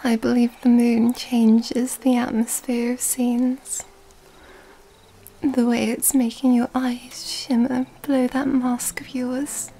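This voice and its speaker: Slow, whispering seductive vampire lady played by a woman. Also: fairly high pitch, level tone, dark tone, rough recording. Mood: admiring, tender. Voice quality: breathy, largely whispered. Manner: slow, teasing, formal old-fashioned wording. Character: seductive, elegant female vampire, mysterious and predatory. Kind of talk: ASMR roleplay, intimate whispered acting.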